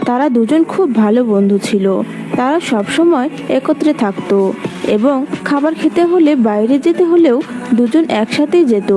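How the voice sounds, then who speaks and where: A woman's voice: high voice, animated, a little slow, rough recording. A woman narrating a scripted children's fable as storyteller, with music behind her.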